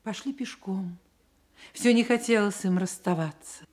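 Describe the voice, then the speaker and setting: A woman narrating a literary Soviet radio play in a measured, expressive, reflective way. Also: mid-range voice. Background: music.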